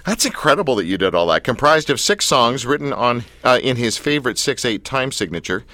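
A man's voice: mid-range voice, very animated, a little fast, pushed and bright, dry recording. A man hosting a studio interview who talks in a playful, teasing and enthusiastic way. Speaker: radio show host. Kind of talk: casual interview chat. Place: radio studio.

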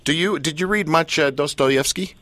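A man's voice: fairly high pitch, normal speed, a bit bright, no room sound. A man hosting a radio talk show and interviewing a guest in a joking, teasing way. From Canada.

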